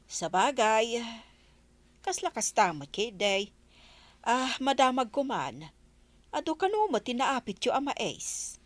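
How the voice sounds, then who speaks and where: A woman's voice: on the high side, big pitch swings, measured pace, long pauses, no echo. A woman playing an inquisitive, advice-giving village neighbour in a radio comedy, with a Filipino accent. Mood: curious. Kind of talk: Ilocano radio comedy drama acting.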